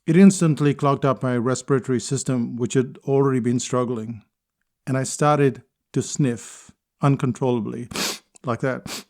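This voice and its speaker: Male speaker, mid-pitched, measured storytelling delivery, reflective spoken-essay tone. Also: steady tone, darkish tone. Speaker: speaker and consultant.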